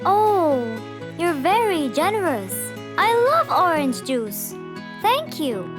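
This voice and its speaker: A woman's voice: extremely high pitch, very animated, takes it slowly, music in the background, heavily acted. A woman voicing an earnest, polite, anxious male jungle animal in a children's cartoon. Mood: grateful, subdued.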